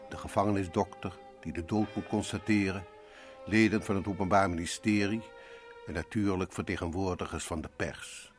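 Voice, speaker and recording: A man performs a sombre male radio play narrator. His low voice addresses the listener directly with grim drama. Soft music sits behind him. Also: natural voice.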